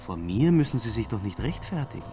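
A man's voice: lowish voice, big pitch swings, a little fast, music in the background. An actor playing an arrogant, cold-blooded man in a radio drama, mock-polite and condescending. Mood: smug, cold. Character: arrogant, cold-blooded male far-right schemer, condescending and menacing. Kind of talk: naturalistic radio drama acting.

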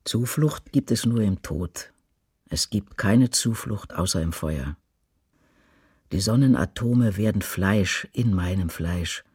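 A man performing a grave prophetic witness to war in a radio drama, declaiming slowly in a husky, breathy voice. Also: lowish voice, a little mellow, dry recording, clean studio sound, exaggerated voice. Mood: somber. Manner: slow, declamatory, weighty. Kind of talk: dramatic radio poetry recitation.

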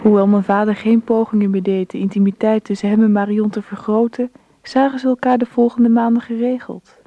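An actress playing a daughter who narrates her father's story in a radio drama, measured and reflective. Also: medium pitch, level tone, lightly acted. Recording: dry, dull lo-fi recording.

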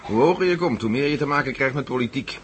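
An actor playing a jovial, restless army general in a radio drama, courteous and wry. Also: male voice, mid-range voice, fast, natural voice. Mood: wry, chatty.

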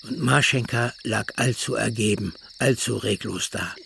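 A man narrating a literary radio drama in a measured, hushed storytelling manner. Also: lowish voice, vocal fry, a little hiss or hum.

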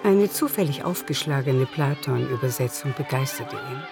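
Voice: mid-range voice, lively intonation, normal speed. Radio play narrator over music. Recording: music behind the voice.

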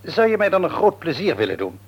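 A slightly dull old radio drama recording of a middle-aged father. His voice is husky and animated. He comes across as genial and businesslike. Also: fairly high pitch, quick pace, heavily acted. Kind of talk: Dutch radio play acting.

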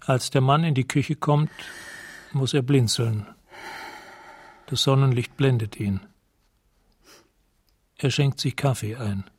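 Measured male radio drama narrator. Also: mid-range voice, husky. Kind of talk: scripted present-tense radio drama narration.

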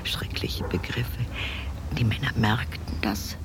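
An actress playing an appalled, prudish mother in a radio play, dwelling on her words with halting indignation. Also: rumbling low, steady tone, whispered. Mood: scandalized dread. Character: appalled, prudish mother obsessively recalling her daughter's scandals.

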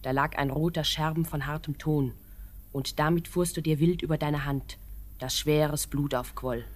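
Low-voiced, devoted young woman. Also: fairly even intonation. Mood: tender, hesitant. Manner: theatrical, measured verse delivery. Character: devoted, proud young woman pleading with troubled lover. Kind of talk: classic German radio drama acting.

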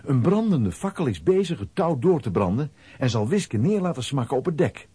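A man's voice: medium pitch, very animated, moderate pace, dark tone, slightly rough, no echo. A man narrating a children's audio drama in a scripted storytelling style.

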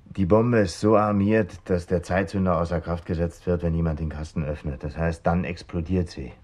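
A man's voice: low voice, fairly even intonation, normal speed, a little mellow. An actor playing a cool, matter-of-fact male bomb-maker turned informant in a 1970s German radio crime drama.

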